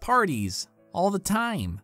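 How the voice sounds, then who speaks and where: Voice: medium pitch, huge pitch swings, takes it slowly, pushed and bright, faint music behind, heavily acted. A performer playing an awkward, lovestruck young male cartoon pony, hesitant and earnest.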